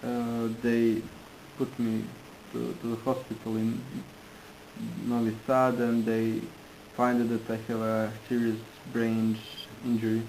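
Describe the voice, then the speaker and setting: A man interpreting into English, halting and restarting in phrasing. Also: mid-range voice, completely flat delivery, darkish tone, slight background noise, rough recording. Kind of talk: consecutive interpreting.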